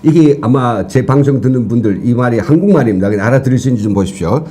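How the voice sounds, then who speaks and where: A man's voice: mid-range voice, animated, very fast, warm tone. A man from Korea, a former professor giving earnest, lecture-like explanations as a talk show guest. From North Korea.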